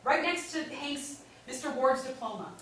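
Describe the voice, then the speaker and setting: A woman's voice: sits high, animated, moderate pace, a bit bright. A woman playing a polite, earnest nineteen-year-old girl in a stage play reading, hesitant and uneasy but honest. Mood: earnest, defensive. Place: indoor staged reading, mic far off. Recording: very echoey room, distant mic, faint noise.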